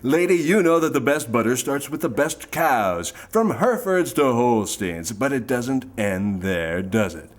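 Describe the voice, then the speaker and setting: A man playing a slick, folksy old-time radio pitchman, delivering smooth salesman patter for a parody sponsor ad. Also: very animated, unhurried, few pauses. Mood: slick, enthusiastic. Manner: smooth-talking salesman patter, rhetorical questions. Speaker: show announcer.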